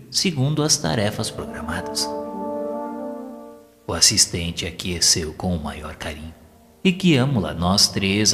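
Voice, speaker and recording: A man's voice: fairly low pitch, a bit bright. A man narrating an audio drama from a script in a solemn, formal, literary manner. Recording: long hall reverb. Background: background music.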